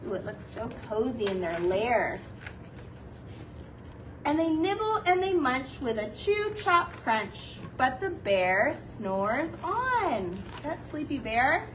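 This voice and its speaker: Animated woman reading a storybook, high-pitched. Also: slow pace, far from the mic, slight background noise, low-quality recording. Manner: animated, playful asides to young listeners. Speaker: kindergarten teacher reading a picture book. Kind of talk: expressive children's storybook read-aloud.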